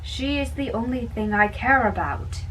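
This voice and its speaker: A woman doing an amateur dramatic reading of a play, haltingly, often repeating and correcting her lines. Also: fairly high pitch, far from the mic, noisy background.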